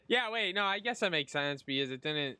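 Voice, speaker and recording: A man giving casual gameplay commentary, informally reacting and thinking out loud as he plays. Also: fairly high pitch, expressive, a little fast, clear, resonant tone, dry recording. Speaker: gaming content creator. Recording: game music behind the voice.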